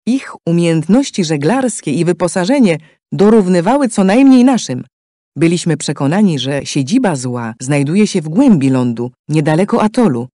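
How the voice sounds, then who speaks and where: A man's voice: fairly high pitch, warm tone, no room sound, clean studio sound. A man giving scripted, dramatic narration for a game story in a solemn, declamatory manner.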